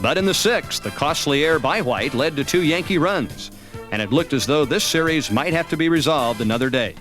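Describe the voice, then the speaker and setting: An American man reading scripted narration for a sports highlight documentary. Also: expressive, a little fast, a bit bright. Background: music.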